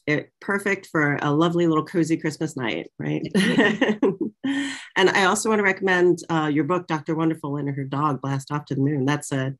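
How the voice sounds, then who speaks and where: A woman's voice: low-pitched, fairly even intonation, normal speed. A middle-aged woman moderating a casual conversation on a video call from a small office. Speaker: interviewer and moderator. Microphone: video call computer microphone.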